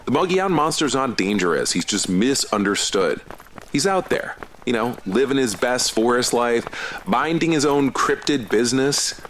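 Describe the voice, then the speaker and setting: Audio drama sidekick: friendly man, average pitch, easygoing, earnest monster enthusiast, light outdoor background noise, actor-performed. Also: animated, moderate pace, fairly bright tone, close to an everyday voice, low-quality recording. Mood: excited, playful. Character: friendly, earnest, cryptid-obsessed male running buddy. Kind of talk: conversational audio drama acting.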